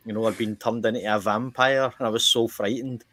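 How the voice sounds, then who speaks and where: A man's voice: on the low side, fairly even intonation, fairly quick, fairly bright tone. A middle-aged man with a Scottish accent, chatting casually as a podcast host and telling rambling stories that he keeps interrupting himself to restart. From Ireland. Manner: rambling anecdotes with frequent self-interruptions and laughter. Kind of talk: casual chat.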